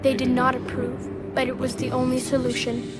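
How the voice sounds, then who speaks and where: High-pitched, detached ancient machine intelligence, played by a woman. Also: monotone, close to an everyday voice. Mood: cold, certain. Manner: measured, formal, detached, didactic. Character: ancient machine intelligence in child form, calm and superior. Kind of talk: video game cutscene acting. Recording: loud music behind the dialogue. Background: loud game score.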